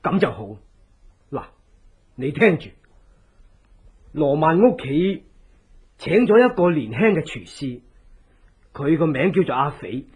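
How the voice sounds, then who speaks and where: Very husky scheming resistance ally. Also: male voice, fairly high pitch, big pitch swings, full character voice. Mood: calculating, instructive. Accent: Hong Kong Cantonese. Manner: deliberate, theatrical, persuasive. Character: loyal scheming male resistance ally, earnest, deliberate advisor. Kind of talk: Cantonese horror radio drama acting.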